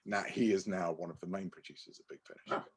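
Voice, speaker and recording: A man's voice: low voice, fairly even intonation, fast, breathy edge. A man co-hosting a podcast, telling anecdotes casually and often correcting himself in a hesitant, apologetic way. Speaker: podcast co-host.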